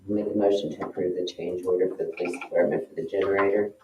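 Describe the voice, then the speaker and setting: An American man with a very deep voice speaks in a council meeting. The sound is distant and muffled, with clear noise behind him. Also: fairly even intonation, fast talker. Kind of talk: meeting talk. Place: town council meeting room. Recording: echoey, distant, muffled, noisy.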